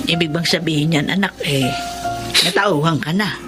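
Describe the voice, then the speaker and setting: A woman playing a long-suffering, sharp-tongued older mother in a radio drama, scolding and world-weary. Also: sits low, normal speed, over music, close to an everyday voice. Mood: surprised, stern. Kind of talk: Tagalog radio drama acting.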